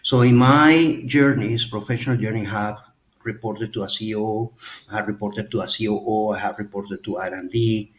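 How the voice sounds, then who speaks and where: A middle-aged man answering as a panelist on a webinar, measured and thoughtful in his phrasing. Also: fairly low pitch, poor audio.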